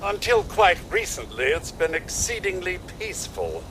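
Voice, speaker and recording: An actor voicing a polite, fussy robot butler in a video game, speaking in a formal, genteel, wordy manner with kindly worry. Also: male voice, high voice, huge pitch swings, normal speed, noisy background. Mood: genteel, measured. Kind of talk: scripted video game dialogue.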